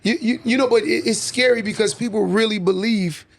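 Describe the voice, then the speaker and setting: A man co-hosting a podcast, telling animated stories and quickly agreeing. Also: sits high. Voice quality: raspy. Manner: animated storyteller, quick affirmations. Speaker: podcast co-host. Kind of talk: casual podcast conversation, storytelling.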